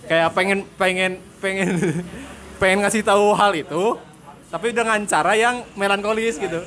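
A young man from Purwakarta, Indonesia, with a notably high voice for a male. He talks as a podcast host in loose, slangy banter. His storytelling is animated and often breaks into giggles. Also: moderate pace. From Purwakarta, West Java, Indonesia. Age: young adult. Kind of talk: casual joking chat.

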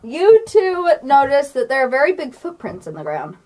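High, animated woman running a game. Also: moderate pace, crowd noise. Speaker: game master. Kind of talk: casual improvised tabletop game narration. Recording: faint background noise.

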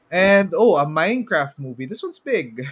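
A man's voice: high voice, fairly bright tone, slightly rough. A man with a Filipino accent co-hosting a podcast, chatting casually about films and games in a rambling way, often rephrasing himself. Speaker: podcast co-host.

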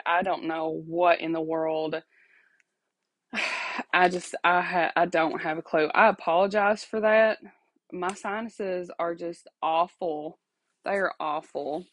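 A woman's voice: lowish voice, no echo. A woman, hosting a casual faith podcast from home, chatty and apologetic, laughing often and asking "okay?", her voice stuffy and sniffly. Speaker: podcast host. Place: at home alone.

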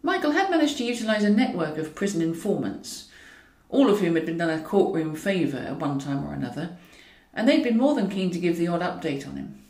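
A woman's voice: lowish voice, sing-song, brisk, darkish tone. A woman reading a comic novel as scripted narration, with a wry, dryly ironic way of telling it. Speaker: author reading own novel. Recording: roomy echo, distant from mic.

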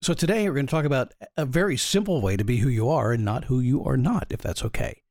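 Raspy, animated male motivational host. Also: medium pitch, quick pace, dry recording, clean studio sound. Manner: chatty, keeps asking the listener questions. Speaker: motivational podcast host and coach. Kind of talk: motivational monologue.

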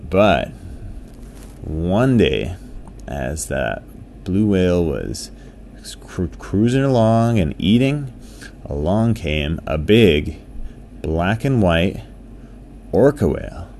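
A man's voice: sits low, very animated, takes it slowly, gravelly, long pauses, darkish tone. A man telling an improvised children's bedtime story in simple, repetitive phrases, with faint noise behind him.

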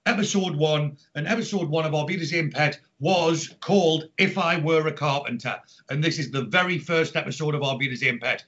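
A man's voice: on the high side, fairly bright tone. A middle-aged man from Newcastle with a Geordie accent, hosting a chatty podcast in an upbeat way over an internet call. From Newcastle, north-east England. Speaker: podcast host. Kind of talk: chatty podcast introduction and announcements. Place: remote Skype call from home during lockdown. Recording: dull lo-fi internet call audio.

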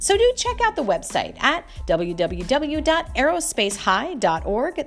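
Lively-voiced woman podcast host. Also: medium pitch. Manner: reads announcements and spells out web addresses slowly. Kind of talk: scripted podcast intro and interview.

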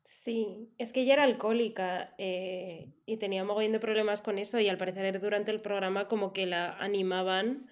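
Woman, chatty podcast co-host, excitable. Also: mid-range voice, normal speed, hardly any pauses. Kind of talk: casual chat.

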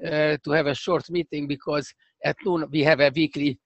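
An older man with a strong Hungarian accent answers interview questions in careful, halting English. His voice sits a little high and has a gravelly fry. The sound is dry and close. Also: flat delivery, no echo, highs cut off. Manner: halting, searching for words, many restarts. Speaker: professor guest. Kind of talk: remote interview conversation.

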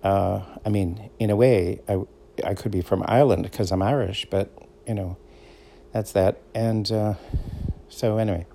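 A middle-aged man with a Boston accent talks through a casual solo podcast. His low, gravelly voice has a mellow, dark tone, and he rambles easily from one thought to the next. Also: fairly even intonation, moderate pace, slight background noise. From Boston area, USA. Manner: meandering, conversational, easygoing storyteller. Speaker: podcast host.

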